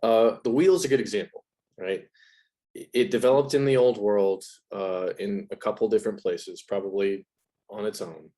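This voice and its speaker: A man's voice: fairly low pitch, fairly even intonation, moderate pace, mellow. A man talking as an interview guest, explaining ideas in a casual, conversational way.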